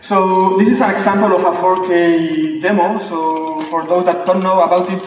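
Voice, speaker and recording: A young man with a strong Spanish accent presents from a big auditorium stage in a fairly high, flat voice. He speaks haltingly, pausing on fillers as he searches for words. The camera catches him from far off, so the sound is dull and washed in long hall reverb. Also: background noise. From Spain. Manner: halting, careful delivery with frequent fillers. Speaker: conference speaker. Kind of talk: technical presentation. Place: large dark auditorium stage.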